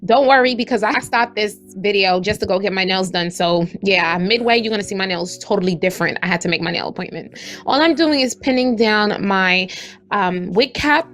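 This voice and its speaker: A young woman with a raspy voice, chatting casually and slangily to her viewers as she walks them through a hair tutorial. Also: mid-range voice, normal speed, a bit bright.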